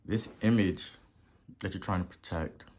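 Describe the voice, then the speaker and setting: A man with a Haitian accent hosting a podcast and giving a motivational talk, preachy, repeating words for emphasis and swelling to loud peaks. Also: sits low, unhurried, breathy edge. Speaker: podcast host.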